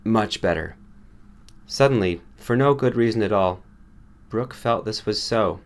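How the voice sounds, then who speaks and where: A man's voice: lowish voice, normal speed. A man reading a short story aloud as an audiobook narrator, in a scripted storytelling style.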